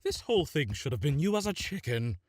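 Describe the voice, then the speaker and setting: A pushy, dramatic vlogging expert. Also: female voice, low voice, very animated, brisk, a little mellow, a little hiss or hum. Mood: bossy. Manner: bossy, dramatic. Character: pushy female self-styled vlogging expert. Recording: music underneath.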